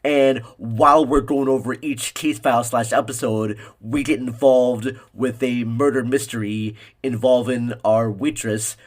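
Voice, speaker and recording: Male podcast host, average pitch, casual conversational introductions. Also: fairly even intonation, a little slow, few pauses.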